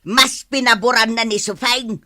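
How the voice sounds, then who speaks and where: Spiteful Ilocano village matriarch for radio comedy: sharp-toned, dry sound, aggrieved and pushy. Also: female voice, fairly high pitch, steady tone, normal speed, no echo. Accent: Ilocano, northern Philippines. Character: spiteful, grudge-holding older village mother. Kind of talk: radio comedy drama acting.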